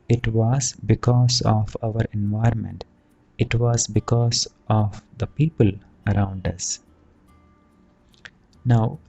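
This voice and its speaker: A man leads a guided meditation as a teacher, speaking soothingly and deliberately in short phrases with long pauses. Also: on the low side, creaky, mellow, husky, highs cut off. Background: background music.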